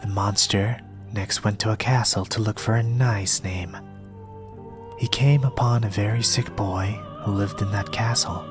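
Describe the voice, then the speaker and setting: Slow, soft-spoken young man, low voice. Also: fairly even intonation. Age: young adult. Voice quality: soft-spoken, partly whispered. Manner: slow, gentle, measured delivery. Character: polite soft-spoken young male reader, quietly eerie. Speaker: storytelling ASMR performer. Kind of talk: ASMR storybook reading in character. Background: soft music.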